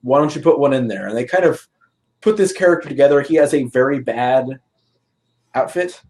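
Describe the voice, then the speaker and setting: A man chatting informally with wry humorous commentary and a slightly halting delivery. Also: medium pitch, a little mellow, a little hiss or hum. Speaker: talk show co-discussant. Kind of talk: casual chat, humorous commentary.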